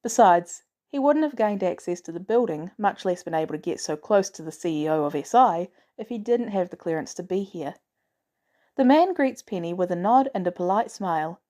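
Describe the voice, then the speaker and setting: A woman's voice: fairly low pitch, lively intonation, normal speed, warm tone. A woman reading a scripted story aloud as a narrator. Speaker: audiobook narrator of fan fiction.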